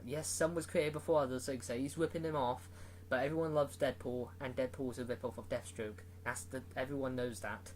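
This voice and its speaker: A man's voice: medium pitch, slight background noise, rough recording. Rambling man reviewing a movie. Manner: rambling, filler-heavy, restarts often. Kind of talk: casual spoken review.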